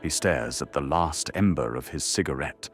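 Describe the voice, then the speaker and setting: A man's voice: extremely low pitch, moderate pace. A man delivering dramatic scripted narration for an audio drama. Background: faint music.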